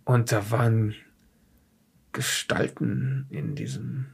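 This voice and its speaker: A man playing a frightened, defensive scientist in a horror audio drama, speaking haltingly with a husky, gravelly voice. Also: fairly low pitch, very animated, heavily acted. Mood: haunted, shaken. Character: frightened, defensive male scientist recounting occult horrors under questioning. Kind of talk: improvised audio drama dialogue.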